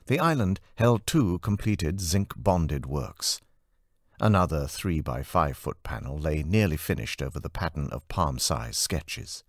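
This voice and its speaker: A man reading fiction as an audiobook narrator in an expressive, scripted storytelling style. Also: rumbling low, breathy edge, no echo, clean studio sound.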